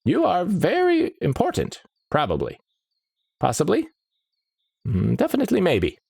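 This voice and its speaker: A man's voice: high-pitched, huge pitch swings, a little slow, gravelly, a little mellow, breathy edge, no room sound, studio quality, voice pushed up, exaggerated voice. A man voicing a scatterbrained, cheerful old male time wizard with a reedy voice and a forgetful, dithering way of talking.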